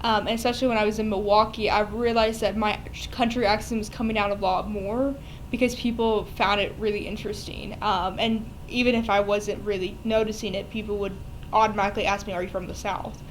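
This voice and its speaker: A woman from Kentucky with a light country drawl talks through interview answers in a chatty, reflective way. Her pitch sits in the middle range. Faint room ambience is heard behind her. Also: steady tone, a little fast, few pauses, slight background noise, poor audio.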